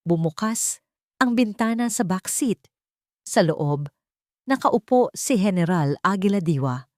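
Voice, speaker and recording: A woman's voice: medium pitch, a little mellow, no echo, studio quality. A woman with a Filipino accent reading a scripted dramatic story as its narrator.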